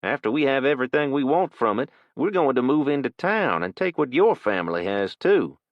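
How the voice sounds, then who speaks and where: A man voicing a cocky, menacing teenage boy with a thick, slow South Louisiana drawl. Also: mid-range voice, sing-song, dry recording, muffled recording, voice pushed up. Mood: cocky, threatening.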